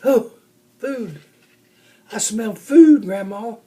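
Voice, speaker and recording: Man playing a high-pitched, eager little bear cub. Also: animated, very slow, dark tone, heavily acted. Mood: excited. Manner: eager, questioning, exclaiming. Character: curious, excitable young male bear cub. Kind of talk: children's storybook read-aloud.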